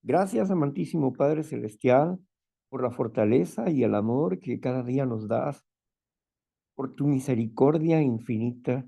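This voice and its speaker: A man with a strong, loud voice who sounds stern without being angry, leading a spiritual talk and prayer. He repeats phrases and often checks in with "¿no?" and "¿verdad?". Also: medium pitch, unhurried, creaky, husky. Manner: fervent prayer calling on the Father. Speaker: group leader.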